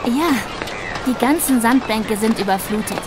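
High-pitched young woman played by an actress, anxious carer, earnest, audio drama, clear background noise. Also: measured pace, close to an everyday voice. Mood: worried. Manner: hesitant, worried, earnest. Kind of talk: German audio drama acting. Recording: noisy ambience behind.

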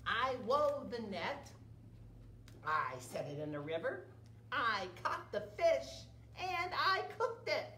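A man voicing a lazy, greedy, indignant trickster spider in a high, strained, whiny storybook voice. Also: takes it slowly, full character voice. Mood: aggrieved. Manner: whiny, exclaiming. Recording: roomy with steady background noise.

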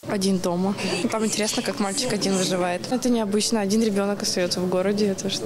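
A woman's voice: mid-range voice, steady tone, quick pace. A woman in her twenties giving an informal interview answer to a reporter. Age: 20s. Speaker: street interviewee. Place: indoor lobby. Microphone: handheld reporter microphone. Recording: loud crowd noise behind.

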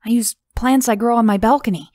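A woman narrator voicing a lively young artist woman who talks eagerly about her work. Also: a little high, brisk, no echo, clean studio sound, pitched above the performer's own voice, lightly acted.

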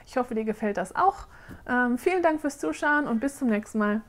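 A woman in her thirties from Germany, chatting casually on camera in a question-and-answer vlog and often pausing with fillers as she searches for words. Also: fairly high pitch, expressive. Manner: chatty, hesitant with frequent fillers. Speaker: doll-making vlogger. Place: living room, seated on a sofa.

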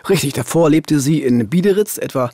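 Husky man telling a true-crime case. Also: a little high, expressive, brisk, mellow, dry recording. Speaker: true-crime reporter and podcast co-host. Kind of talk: scripted crime narration in dialogue. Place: small dark studio. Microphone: studio boom microphone, headphones.

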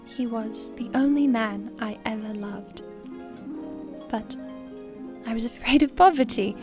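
A woman's voice: a little high, a little slow, music in the background, close to an everyday voice. A woman playing a wealthy older widow in an audio drama, earnest, theatrical and full of feeling. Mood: wistful, subdued. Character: wealthy older widow, wistful, emotional and effusive.

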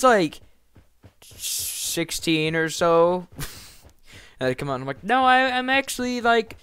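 A teenage male gamer with a stuffed-up, scratchy voice talks casually over his game. His pitch sits in a middle range and his delivery is animated and rambling. There is clear noise behind him. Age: teens. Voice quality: nasal, scratchy from being sick. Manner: animated, rambling, breaks into shouting and muttering. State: sick, congested voice with cracks. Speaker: gaming YouTuber. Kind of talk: excitable gameplay commentary, casual chat. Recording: clear background noise, clicks.

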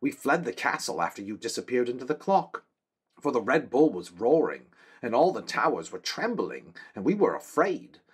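A man reading aloud, voicing an eager young man-at-arms in a high, loud, husky voice. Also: big pitch swings, moderate pace. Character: young soldier, eager and joyful.

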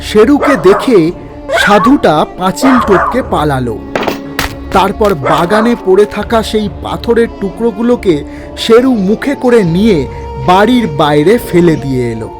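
A man narrating a horror story in a slow, measured, dramatic way. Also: high voice. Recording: narration over background music.

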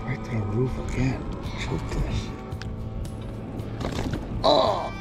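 A man in his thirties, a fishing vlogger, chatting casually and swearing from his kayak, with excited whoops when a fish bites. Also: medium pitch, takes it slowly, gravelly, loud music behind the voice. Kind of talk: casual outdoor vlog chat. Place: outdoors in a kayak on a river. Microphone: action camera on the kayak.

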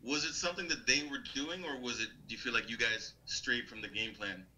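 A man asking a player questions at a press conference in a casual, halting way. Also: medium pitch, bright, forceful tone, a little hiss or hum, rough recording. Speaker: sports reporter.

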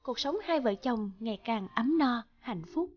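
A woman's voice: on the high side, animated, unhurried, lo-fi sound. A woman narrating a scripted children's folk tale as a storyteller. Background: music behind the voice.

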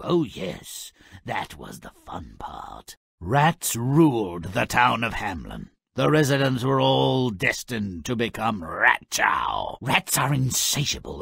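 An actor playing a gleefully malicious, sardonic little fairy-tale troublemaker in a video game, with a raspy, gravelly voice and a theatrical, gloating delivery. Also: male voice, on the low side, no echo, exaggerated voice. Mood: wry storytelling. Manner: sardonic, gloating, theatrical, swings from murmur to shout. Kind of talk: theatrical scripted game narration.

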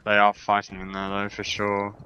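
A man's voice: lowish voice, monotone, normal speed, highs cut off. A man giving short, repetitive, sweary callouts and casual chat to teammates as an esports player. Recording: clear game noise and gunfire. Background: shooter game gunfire and ambience.

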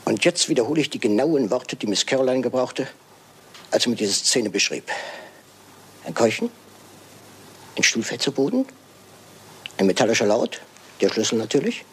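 Man acting a theatrical, lively detective. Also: mid-range voice, slight background noise, lightly acted. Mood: dramatic, intent. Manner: theatrical, deliberate, addressing and coaxing others. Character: male detective, shrewd, theatrical, pedantic, self-assured. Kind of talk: German radio crime drama acting.

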